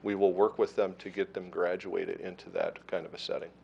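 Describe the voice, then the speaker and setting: A middle-aged man who runs an ambulance service, answering questions at a council meeting in a steady, reassuring way with frequent fillers. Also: deep voice, brisk, vocal fry, slightly rough, muffled recording.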